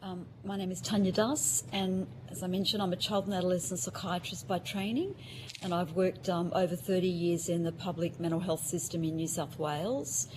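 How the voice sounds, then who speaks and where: A middle-aged woman who grew up in Australia, giving measured formal testimony as a witness over a call, with many um fillers. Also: lowish voice, steady tone, noisy background. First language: Ukrainian. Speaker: witness, psychiatrist.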